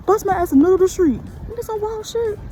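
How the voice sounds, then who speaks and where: A woman's voice: extremely high pitch, fast, mellow, slight background noise. A young woman talking casually to her phone camera outdoors, slightly tipsy, loose and swearing freely. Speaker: vlogger. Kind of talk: casual on-location vlog talk. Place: outdoors in a snowy city.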